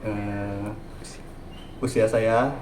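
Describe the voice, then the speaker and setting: A man's voice: fairly low pitch, level tone, drawn-out words, a little mellow, slight background noise. A man in his twenties answering interview questions in a hesitant way, with frequent filler sounds. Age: 20s. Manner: hesitant, many "eh" fillers and "gitu". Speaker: interviewee, entrepreneur. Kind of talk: casual spoken answers. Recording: roomy echo.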